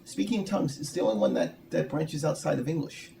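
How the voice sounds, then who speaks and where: A man's voice: fairly high pitch, animated, fast, vocal fry, dark tone. A man hosting a casual interview, speaking in a wordy, rambling way and restating his points as he goes. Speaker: interviewer, podcast host. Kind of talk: casual, admiring interview talk. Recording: noisy room recording.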